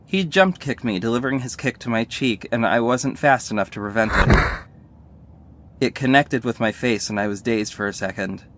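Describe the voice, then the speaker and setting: Superhero lead for a male actor: first-person audio drama narration, medium-pitched, wry, sardonic, earnest storyteller. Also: normal speed, fairly bright tone, slight background noise, natural voice. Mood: tense recounting. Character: sardonic young male superhero narrating his own fight.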